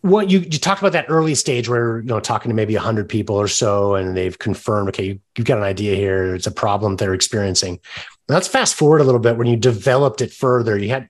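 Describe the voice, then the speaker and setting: A man hosting a podcast, interviewing in a casual, conversational way with chatty, affirming remarks. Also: lowish voice.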